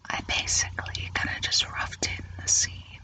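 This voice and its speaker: A person whispering casual ASMR commentary in an unhurried, musing, informal way while drawing, in a breathy unvoiced voice. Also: bright, forceful tone, distant mic. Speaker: host of a drawing ASMR channel. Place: indoors at a drawing easel.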